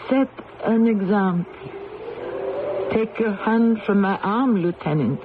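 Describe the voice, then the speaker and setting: A woman's voice: mid-range voice, takes it slowly, noisy background, natural voice. An actress playing a proud, grieving French queen condemned to death, solemn and theatrical in an old-time radio drama. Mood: proud, resolute. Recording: dull band-limited old radio recording, music behind.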